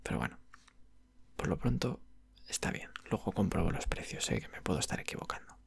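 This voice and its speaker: Man playing a patient male game-shop clerk for ASMR roleplay, Spain accent, very breathy half-whisper with heavy creak. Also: low-pitched, very animated, no room sound, full character voice. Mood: calm, explanatory. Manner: unhurried, murmuring, hesitant phrasing. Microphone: close microphone.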